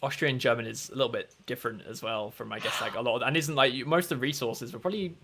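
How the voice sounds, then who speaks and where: Male stream host, rapid, crisp tone, upbeat interviewer who keeps nudging the guest on. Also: medium pitch, bright, forceful tone. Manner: eager, encouraging, quick affirmations. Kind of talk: casual live interview.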